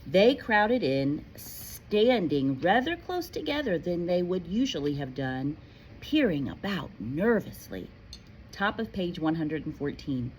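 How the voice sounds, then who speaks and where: A woman teacher reading aloud to pupils, expressive and addressing her listeners directly, moving between storytelling and casual classroom chat. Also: on the low side, measured pace. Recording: faint background noise.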